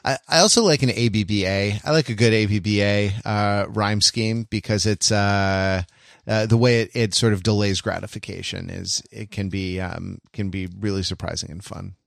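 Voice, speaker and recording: A man with a lowish voice talks casually as a podcast host. The sound is dry, with little room on it. He thinks aloud about literature, stopping, restarting and doubling up on words. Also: steady tone, gravelly, no room sound. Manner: halting, self-interrupting, repeats words.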